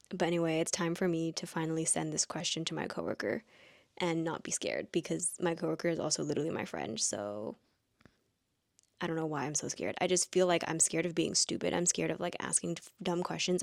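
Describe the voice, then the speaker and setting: A woman's voice: deep voice, fairly even intonation, brisk, vocal fry, no room sound, studio quality. A woman in her late twenties musing aloud in a casual vlog, rambling with lots of "like" fillers. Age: late 20s. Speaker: vlogger. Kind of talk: reflective casual monologue.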